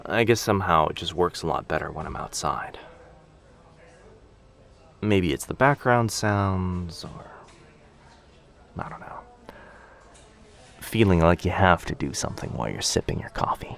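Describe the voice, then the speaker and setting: A man playing a shy, sweet, anxious young stranger in a boyfriend ASMR roleplay, speaking hesitantly, softly and earnestly. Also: sits low, animated, a little slow, vocal fry, long pauses, heavily acted. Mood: thoughtful, hesitant. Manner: hesitant, soft-spoken, earnest, self-doubting.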